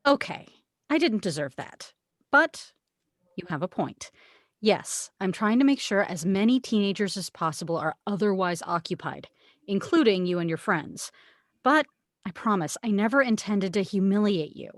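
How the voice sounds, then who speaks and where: A woman's voice: mid-range voice, expressive, normal speed, no echo, studio quality, natural voice. A woman reading the part of a loving, superstitious mother who anxiously looks out for her daughter, in an amateur script read. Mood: earnest, hurt.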